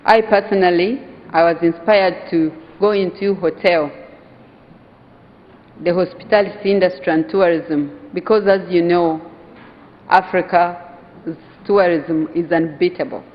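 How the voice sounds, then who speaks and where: A woman's voice: fairly low pitch, steady tone. Ugandan lady giving a conference speech, earnest and emphatic, muffled sound in a big echoey hall. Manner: earnest, emphatic, with slow stressed phrases. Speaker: conference speaker, hotel owners' association vice chair. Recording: dull sound in a reverberant hall.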